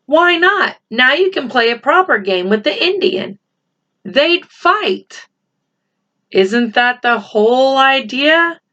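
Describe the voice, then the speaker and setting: A woman's voice: high voice, animated, slow, bright, forceful tone, pitched above the performer's own voice, exaggerated voice. A sarcastic young schoolboy who doubts everything, put on by a woman reading a storybook. He sounds challenging and takes his time over words. The recording is a touch dull. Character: skeptical, sarcastic schoolboy, the friend. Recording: slightly dull, lo-fi home recording.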